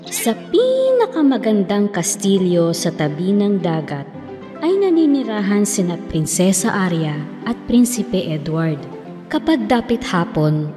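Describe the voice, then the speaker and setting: A woman narrating a children's fairy tale as a storyteller, in a scripted style. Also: fairly low pitch. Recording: long reverb, music behind.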